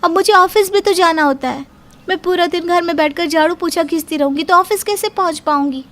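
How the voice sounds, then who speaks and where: A woman's voice: extremely high pitch, brisk, fairly bright tone. A woman playing a young working daughter-in-law in a cartoon moral story, put-upon, pleading and protesting. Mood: exasperated.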